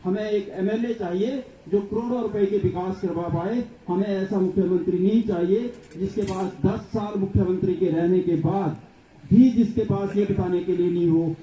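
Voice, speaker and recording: A man's voice: high voice, fairly even intonation, normal speed, mellow, slight background noise. Man giving a distant echoey public speech. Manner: rhetorical, repetitive lists. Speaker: political speaker. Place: public gathering. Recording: echoey, distant, dull sound.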